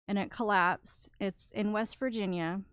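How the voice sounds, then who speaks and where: A woman's voice: medium pitch, fairly even intonation, measured pace, a bit bright, smooth, no echo, clean studio sound. A woman co-hosting a podcast, earnestly recounting stories in a casual chat. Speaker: podcast co-host.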